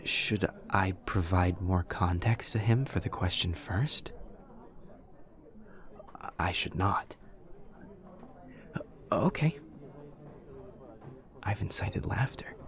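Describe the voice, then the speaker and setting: A man's voice: low-pitched, takes it slowly, gravelly, pauses often, heavily acted. A man playing an awkward, earnest young demon student in an audio roleplay, speaking in a formal, literal, over-explaining way. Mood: puzzled, pleased. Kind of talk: audio roleplay acting, addressing the listener.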